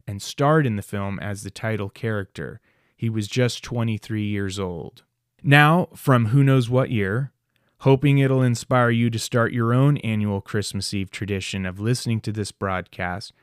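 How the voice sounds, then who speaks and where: A man's voice: medium pitch, clear, resonant tone, no echo, studio quality. A man hosting a podcast, reading a scripted introduction to a classic radio drama. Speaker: podcast host.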